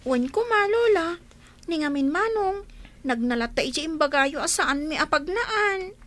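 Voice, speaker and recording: An earnest little Ilocano child who loves to tattle, from a village comedy radio play. The voice is extremely high and childlike. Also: female voice, lively intonation, normal speed, a bit bright. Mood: tattling.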